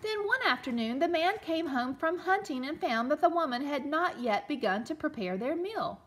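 A woman reading a storybook aloud to young children as a teacher, expressively, pausing to explain and ask questions. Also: sits high, expressive. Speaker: elementary teacher reading a picture book aloud.

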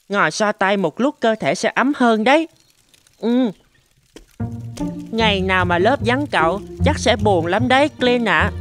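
High-voiced friendly cartoon schoolchild, voiced by a woman. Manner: friendly, earnest. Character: cheerful kind little schoolchildren.

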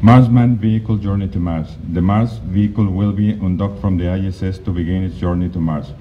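Man, very low voice, halting conference presenter with many restarts; dull lo-fi recording, faint hiss. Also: fairly even intonation. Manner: halting, with frequent restarts and fillers. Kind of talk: slide presentation talk. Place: conference hall.

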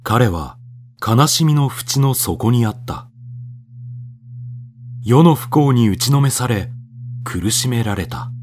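A man's voice: medium pitch, expressive, slow pace, long pauses. A man narrating a radio drama from a script in a solemn, declamatory, dramatic manner. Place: studio voice-over with music behind.

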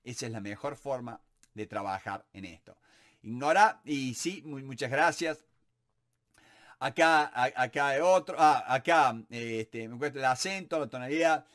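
A man in his forties with a Córdoba Argentine accent, answering viewer questions to camera in a home office in an animated, encouraging way, full of fillers. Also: mid-range voice, a little slow. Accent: Córdoba Argentine Spanish. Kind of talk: casual Q&A to camera. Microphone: webcam or camera at desk, close framing.

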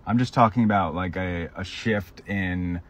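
A man in his thirties rambling casually and wearily into a phone in a car, with hesitant pauses. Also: deep voice. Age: 30s. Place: inside a parked car. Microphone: phone at arm's length.

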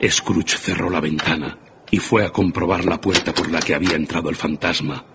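A man's voice: low voice, steady tone, moderate pace. A man narrating a scripted dramatic story as the narrator of a radio drama. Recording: muffled, loud background ambience and noise.

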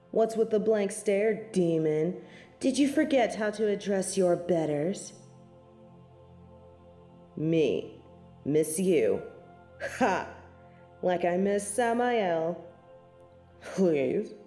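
A woman's voice: lowish voice, warm tone, echoey room. A woman playing a snobby, haughty female angel in an audio roleplay, speaking in a pompous, scornful, formal way. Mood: mocking, then haughty disdain. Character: snobby, haughty tsundere female angel guarding heaven's gates. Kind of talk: scripted audio roleplay acting.

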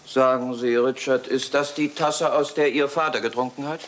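A man's voice: mid-range voice, steady tone, normal speed, a bit bright, close to an everyday voice. A man playing a sober, matter-of-fact doctor in a German radio crime drama. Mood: grave, matter-of-fact. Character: sober male doctor assessing a poisoning. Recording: slightly hissy old radio play recording.

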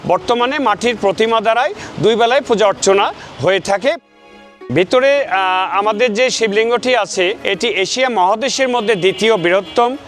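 High-voiced man guiding outdoors. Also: normal speed, fairly bright tone, faint music behind. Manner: informative, listing dates and facts, with hesitations. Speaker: archaeology department temple attendant. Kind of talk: on-site guided explanation. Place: outdoors at a temple site.

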